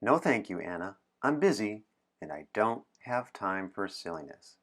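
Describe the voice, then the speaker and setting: A man's voice: fairly low pitch, big pitch swings, moderate pace, fairly bright tone, pitched below the performer's own voice. Man playing a serious, fussy little boy. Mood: prim, businesslike. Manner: formal, precise, exasperated.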